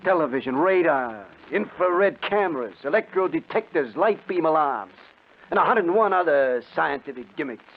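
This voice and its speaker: A man's voice: on the high side, lively intonation, moderate pace, creaky. An actor playing a bitter, irritable male racketeer, blunt and grumbling, in a 1950s radio drama. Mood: bitter, resigned. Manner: blunt, grumbling, tough-guy. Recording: noisy muffled old radio recording.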